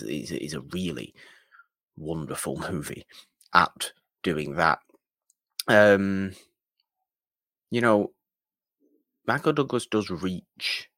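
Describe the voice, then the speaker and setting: A man co-hosting a film podcast, chatting casually in a halting, rambling way with emphatic repetition. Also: sits low, creaky, fairly bright tone, no room sound.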